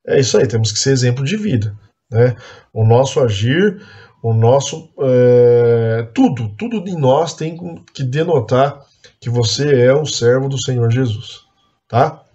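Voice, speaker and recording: A man's voice: medium pitch, lively intonation, measured pace, dark tone, dull audio. A man from Brazil teaching an adult Sunday school class in a conversational, admonishing way, dotting his talk with tag questions and fillers. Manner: earnest, admonishing. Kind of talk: church Bible class teaching.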